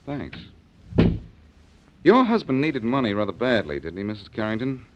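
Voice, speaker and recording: A wisecracking radio detective. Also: male voice, mid-range voice, wildly swooping pitch, moderate pace, noisy background. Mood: cocky. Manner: sardonic, needling. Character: wisecracking tough male private detective, cocky. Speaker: detective lead. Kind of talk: 1940s radio acting. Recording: dull old radio recording.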